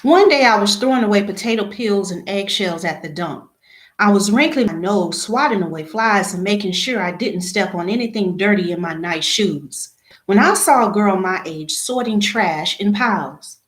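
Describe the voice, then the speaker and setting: A woman's voice: mid-range voice, expressive, moderate pace. Echoey woman reading a picture book aloud. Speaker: read-aloud storyteller. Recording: echoey room.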